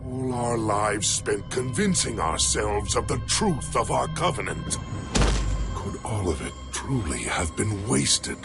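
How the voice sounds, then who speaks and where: An actor voicing a proud but troubled alien male warrior with a deep, heavy voice, slow and grave. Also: expressive, gravelly, heavily acted. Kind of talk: video game cutscene acting. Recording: game soundtrack with music underneath.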